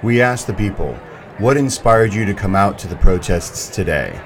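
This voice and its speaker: Low-voiced male radio host, crowd behind. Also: animated, normal speed. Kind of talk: scripted narration introducing protest coverage.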